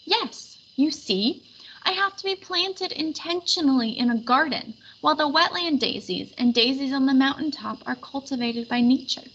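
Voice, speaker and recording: A woman's voice: high-pitched, expressive, moderate pace, fairly bright tone, dull audio, slight background noise, pitched above the performer's own voice. A woman voicing a fussy, determined little female daisy in a storybook, complaining in an emphatic, particular way. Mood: matter-of-fact, proud.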